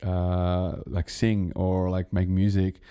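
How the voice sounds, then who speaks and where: Laid-back man, low voice. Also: monotone, slow pace, dark tone, smooth. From Australia. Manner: rambling, laid-back, full of fillers and restarts. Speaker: guest, music producer. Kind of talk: casual podcast chat.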